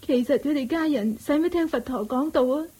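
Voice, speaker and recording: An actress playing a mistreated, despairing young wife in a radio drama, talking in a weepy, pleading way. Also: female voice, sits high, brisk, warm tone, close to an everyday voice. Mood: distraught. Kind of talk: Cantonese radio drama acting.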